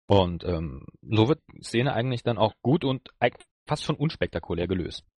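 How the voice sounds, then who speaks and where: A man with a slightly low voice co-hosts a podcast. He explains and reviews in a casual, chatty way, recorded dry with no room sound. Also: animated, normal speed, a little mellow, no room sound. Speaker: podcast co-host.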